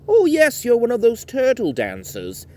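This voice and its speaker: A man voicing a haughty, bored male mermaid gate guard in a high sing-song voice, as part of a spoken bedtime story. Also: huge pitch swings, moderate pace, a little mellow, slight background noise, heavily acted. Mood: bored, amused.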